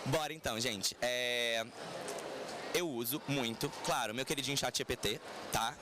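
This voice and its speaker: A man's voice: medium pitch, moderate pace. Chatty man on a noisy expo floor. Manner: chatty, playful, uses slang and tag questions. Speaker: head of people, panelist. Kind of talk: casual conference panel talk. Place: conference stand. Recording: noisy venue ambience.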